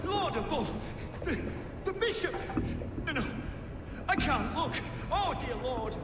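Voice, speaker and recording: An actor playing a pious, frightened priest in a video game, exclaiming and pleading in horror. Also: male voice, extremely high pitch, big pitch swings, slow, heavily acted. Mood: terrified. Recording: long hall reverb, faint background noise.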